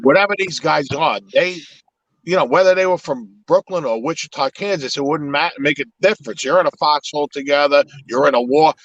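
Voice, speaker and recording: A man's voice: on the high side, brisk, a bit bright, no room sound. Rambling middle-aged-sounding man, dull audio. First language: Italian. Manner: reflective, rambling with restarts. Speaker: podcast host. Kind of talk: casual live podcast chat.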